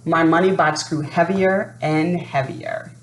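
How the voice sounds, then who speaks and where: Woman, lowish voice, expressive storybook read-aloud, roomy sound, unhurried. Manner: expressive, unhurried, adds asides to listeners. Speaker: storybook reader. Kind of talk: expressive read-aloud of a children's picture book. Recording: roomy echo, faint background noise.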